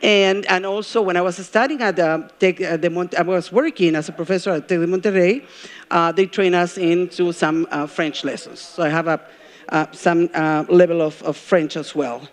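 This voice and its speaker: A middle-aged woman from Peru with a Peruvian Spanish accent, giving a live talk as a journalism professor. She engages the crowd with questions and pauses often with ums and uhs. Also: lowish voice, fairly even intonation. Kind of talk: live stage talk to an audience. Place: event stage before a live audience.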